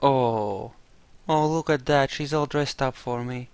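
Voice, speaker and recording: A man's voice: mid-range voice, slow, darkish tone, smooth. A man giving casual, wry commentary on a game playthrough, musing aloud on a close mic. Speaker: gamer playing in character.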